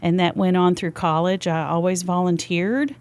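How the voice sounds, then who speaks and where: A woman's voice: fairly low pitch, steady tone, normal speed, darkish tone. An older woman with a Texas accent, chatting freely as a podcast guest in a studio. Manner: chatty and talkative. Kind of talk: casual interview chat.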